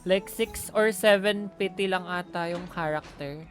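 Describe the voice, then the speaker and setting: Chatty Filipino woman, slightly low voice. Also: normal speed. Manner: informal, code-switching, chatty. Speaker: game streamer. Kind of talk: casual livestream chat over gameplay. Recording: loud game music behind.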